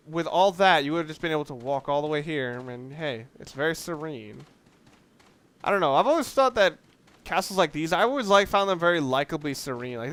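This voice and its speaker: A man's voice: a little high, fairly bright tone, clear, resonant tone. A man doing casual, unscripted game commentary, thinking aloud in a rambling way and often correcting himself. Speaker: let's player.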